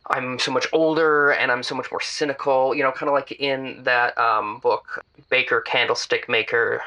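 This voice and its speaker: A man's voice: medium pitch, vocal fry, pushed and bright, lo-fi sound. A man playing a bashful, lonely, bookish caller in a comedy audio drama, speaking hesitantly and self-consciously. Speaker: caller on a radio advice show.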